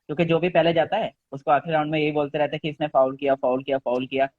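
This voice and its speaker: Young man, guest, relaxed storyteller, phone-quality sound, dry. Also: on the high side, racing, dry recording. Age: young adult. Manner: relaxed, storytelling, mixes in English phrases. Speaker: interview guest. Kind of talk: casual interview chat.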